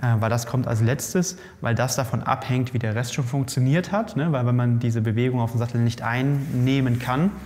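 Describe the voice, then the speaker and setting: A male host in casual expert conversation, lively and explaining, often restarting mid-sentence, in a bike fitting lab. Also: mid-range voice, moderate pace, few pauses. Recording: long reverb in a lab room.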